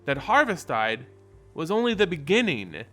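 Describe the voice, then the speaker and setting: Storybook werewolf heroine played by a man: idealistic, conflicted, heartfelt, slightly high voice with music underneath. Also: very animated, normal speed, pushed and bright. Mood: troubled, earnest. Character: earnest, troubled werewolf woman, idealistic and conflicted. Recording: music behind the voice.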